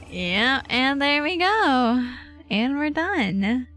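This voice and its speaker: A woman streaming a game, reacting in a playful, offhand way. Her delivery is unhurried but lively in pitch, and faint game music plays behind her. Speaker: game livestreamer. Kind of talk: casual live commentary over a game.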